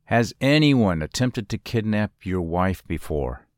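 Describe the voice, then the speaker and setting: A man playing a businesslike police officer in a crime audio drama. He has a somewhat low voice and a very dry sound. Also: animated, a little slow, darkish tone, no echo. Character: matter-of-fact male police officer.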